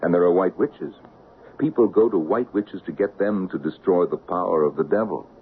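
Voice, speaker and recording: A gravelly, low-voiced doctor, coaxing and lilting; tinny old radio. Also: male voice, normal speed, slight background noise. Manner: gentle, coaxing, lilting storyteller delivery. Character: soothing, patronizing middle-aged male doctor. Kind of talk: 1970s radio drama acting.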